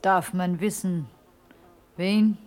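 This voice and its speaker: An actress playing an alarmed, deferential village peasant wife, exclaiming in a classical radio drama. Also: female voice, lowish voice, fairly even intonation, drawn-out words, a little mellow. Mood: curious. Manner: startled, exclamatory.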